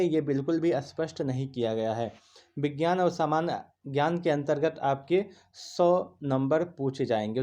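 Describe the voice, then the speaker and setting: An earnest young man talks students through an exam in an easy, conversational lesson. His voice is mellow and dark at a middle pitch. He repeats points and restarts words as he goes. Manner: earnest, repeats himself, restarts mid-word. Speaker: exam coaching teacher. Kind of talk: casual spoken lesson to students.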